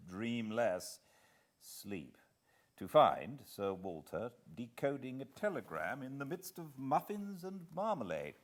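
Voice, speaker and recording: British man, low-pitched, literary narration, expressive, deliberate phrasing. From Britain. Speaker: audiobook narrator.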